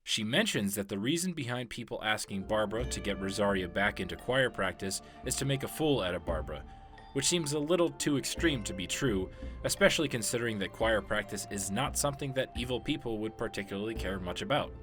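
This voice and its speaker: A man reads scripted game lore narration in a fairly low voice. Music plays clearly behind him throughout. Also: lively intonation, a little fast. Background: background music.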